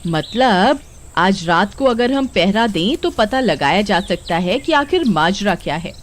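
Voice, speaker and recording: A woman's voice: mid-range voice, expressive, measured pace, lightly acted. A woman playing a sensible, nagging village wife who exclaims and frightens easily, in a cartoon story. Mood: thoughtful.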